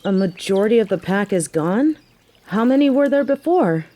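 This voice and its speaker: A woman's voice: medium pitch, animated, normal speed, warm tone, lightly acted. A woman playing a tough, sardonic but caring young biker girlfriend in a roleplay audio drama, talking in casual slang with a teasing, wry manner. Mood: incredulous. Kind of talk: scripted roleplay audio drama, intimate one-sided conversation. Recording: faint background noise.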